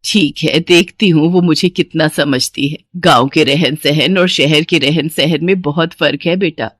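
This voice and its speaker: A woman's voice: low-pitched, a little slow, no echo. Woman voicing a proud village mother, dry sound. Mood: hurt. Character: devoted village mother, proud, wounded, protective. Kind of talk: Hindi cartoon moral story acting.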